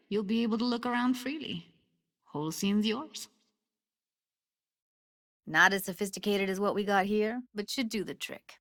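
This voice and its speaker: A woman's voice: medium pitch, fairly bright tone, close to an everyday voice. An actress voicing a streetwise young techie woman in a cyberpunk video game, talking in a casual, slangy, wry way. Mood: casual, instructive. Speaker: video game character actor. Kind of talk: naturalistic game voice acting.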